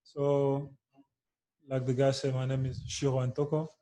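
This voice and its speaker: A soft-spoken man in his thirties, from Congo and raised in Belgium, with Lingala as his first language. His voice is dark and mellow, and he pauses often on "you know" as he answers at an easy, mid-range pitch. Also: flat delivery. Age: 30s. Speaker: podcast guest, soccer player. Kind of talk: casual interview chat.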